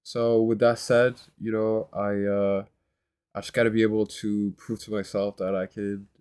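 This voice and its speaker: Man, nasal congested voice, low pitch, flat delivery, rambling, hesitant, casual vlog. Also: a little slow, clear, resonant tone. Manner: rambling, hesitant, many fillers and restarts. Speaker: vlogger. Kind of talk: casual self-recorded vlog.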